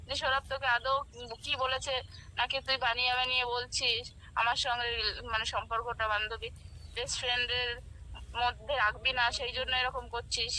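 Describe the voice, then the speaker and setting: A woman's voice: on the high side, little pitch movement, brisk, pushed and bright, a little hiss or hum, low-quality recording. A woman on the phone in a heated informal argument, defending herself indignantly and repeating herself. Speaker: friend receiving a prank call.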